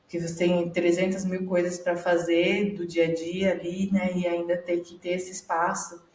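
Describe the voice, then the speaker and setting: A woman's voice: on the low side, little pitch movement, normal speed, darkish tone, reverberant, distant mic, slight background noise. A Brazilian woman, a psychologist, explaining things in a conversational way, with many hesitations and tag questions. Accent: Brazilian Portuguese. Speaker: psychologist guest. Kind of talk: conversational video call discussion.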